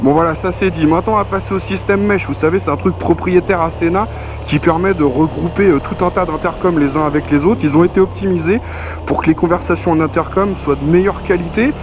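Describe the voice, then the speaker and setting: Higher-pitched man, casual reviewer, music behind. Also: fast. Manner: colloquial, conversational, punctuated with slang and spoken sound effects. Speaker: motorcycle gear reviewer, presenter. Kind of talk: casual spoken product review. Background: clear background music.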